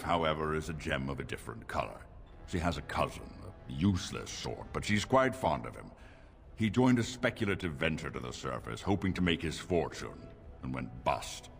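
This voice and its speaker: A persuasive, formal dwarf nobleman from a fantasy game. His low voice is animated and sweeping in its oratory, ringing in a long reverberant hall. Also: normal speed, creaky, heavily acted. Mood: candid, pleading. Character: scheming, courtly middle-aged dwarf lord. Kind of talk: video game dialogue acting. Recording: reverberant hall with faint music.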